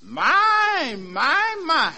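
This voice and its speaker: Brief: mock-polite shoeshine man, very high swooping voice, folksy drawl, sly punchlines, vintage radio comedy. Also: huge pitch swings, drawn-out words, pushed and bright, full character voice. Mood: sly, mock-innocent. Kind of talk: 1940s radio comedy acting. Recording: noisy 1940s radio recording, studio audience.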